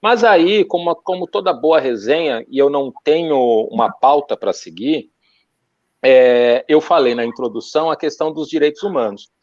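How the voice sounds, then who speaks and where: Slangy host, muffled dry sound. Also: male voice, a little high, moderate pace, no room sound. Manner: relaxed slang, repeats himself while searching for words. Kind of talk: casual interview chat.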